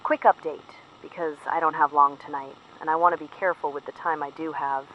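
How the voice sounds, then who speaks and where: A woman's voice: low voice, fairly even intonation, fairly quick, close to an everyday voice. A woman playing a weary, fearful field operative in an audio drama, confiding a careful, plain-spoken secret report over the radio. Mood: guarded, uneasy. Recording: muffled noisy radio transmission.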